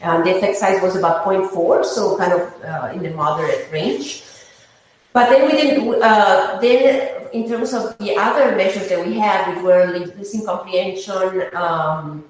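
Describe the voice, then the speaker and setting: A woman explaining research as an interview guest, in a halting, measured way. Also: mid-range voice. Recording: very echoey room.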